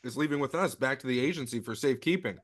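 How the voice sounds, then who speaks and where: A man voices a secret agent in a comedy read-through. He is earnest and profane, with a medium-pitched everyday voice. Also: fast, natural voice. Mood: firm. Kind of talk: comedic script table read.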